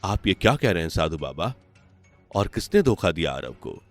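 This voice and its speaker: A man voicing a kindly, dutiful middle-aged king and father in an animated story, courteous and regal. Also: low-pitched, normal speed, gravelly, faint music behind, exaggerated voice. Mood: troubled, commanding. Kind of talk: animated moral story voice acting.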